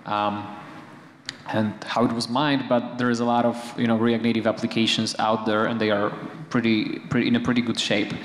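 A young man speaking on a conference panel into a handheld mic, hesitant with many fillers and restarts. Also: medium pitch. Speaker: panelist, framework contributor. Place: conference stage, large hall. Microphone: handheld microphone. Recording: echoey hall with long reverb.

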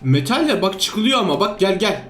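Excitable high-voiced man playing a game. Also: normal speed. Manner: excitable, exclamatory, repeats commands. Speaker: gaming video host. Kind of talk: playful game commentary. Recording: roomy echo, music underneath. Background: background music.

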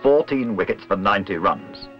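A man reading scripted documentary narration in a measured, literary, wry manner. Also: fairly low pitch, expressive. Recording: dull old film soundtrack, music behind.

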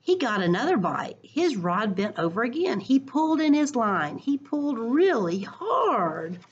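Slow, husky woman reading a children's story. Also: fairly high pitch, highs cut off. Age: middle-aged. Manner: deliberate, expressive storytelling. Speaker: school educator reading to children. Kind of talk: children's story read-aloud. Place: living room at home. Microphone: computer webcam at arm's length.

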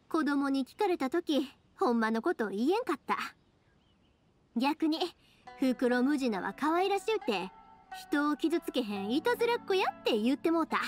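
A woman voicing a cheerful, big-sisterly young fireworks maker in an anime game, with a Kansai accent and a lively, reassuring, colloquial way of talking. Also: high-pitched. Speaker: video game character voice actor. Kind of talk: anime-style game dialogue.

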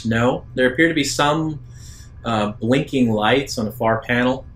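A man narrating an improvised tabletop roleplaying game as game master, in a measured way with hesitant pauses. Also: medium pitch, a bit bright. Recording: roomy echo, faint background noise.

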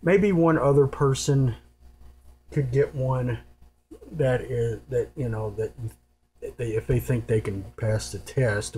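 A man's voice: mid-range voice, a little mellow. A man giving a casual, unscripted hands-on explanation in a rambling, informal and matter-of-fact manner. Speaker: presenter giving radio gear advice. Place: indoors at a table. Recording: noisy home recording with hum. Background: clear noise and hum.

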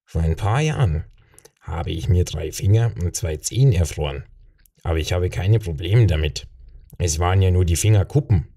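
A man's voice: deep voice, lively intonation, normal speed, mellow. A man voicing a proud, carefree, weathered young mountain guide in a fantasy audiobook. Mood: proud, unworried. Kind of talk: audiobook character voice.

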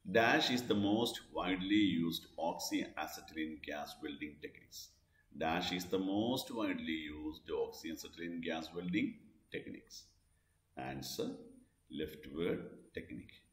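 A man with a low-pitched voice reads out a scripted lesson like an instructor. He goes slowly and deliberately, repeating himself as he moves through questions and answers. The recording is noticeably echoey and roomy.

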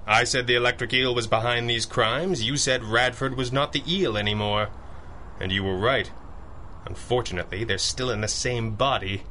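An actor playing a shrewd, commanding masked hero, measured and authoritative, in a 1930s-style radio drama. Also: male voice, mid-range voice, fairly even intonation, sharp tone, a little hiss or hum, lightly acted. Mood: grave. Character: masked male crime-fighting hero, grave, shrewd, commanding.

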